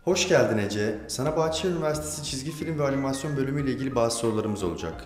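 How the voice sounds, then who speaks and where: A man's voice: mid-range voice, animated, rapid-fire. A man putting scripted questions as an interviewer. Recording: roomy echo with music behind. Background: background music.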